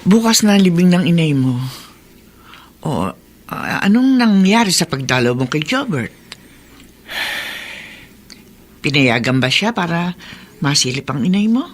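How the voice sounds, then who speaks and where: Very animated, concerned motherly figure. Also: lowish voice, normal speed. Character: concerned motherly older woman, pleading and insistent. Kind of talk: Tagalog radio drama acting.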